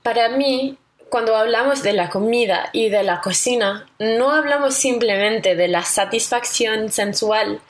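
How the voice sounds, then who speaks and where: Young American woman, radio host; measured scripted read, mid-pitched, fixes her own slips. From Connecticut, United States. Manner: careful, measured delivery with small self-corrections.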